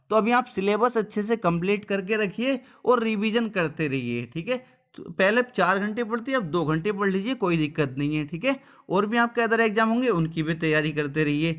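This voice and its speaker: Male coaching teacher, high-pitched voice, informal, blunt, colloquial, frequent check-in tags. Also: fairly bright tone. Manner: repeatedly checks understanding with short tags. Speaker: coaching teacher giving exam updates. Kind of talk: informal exam-update talk to students.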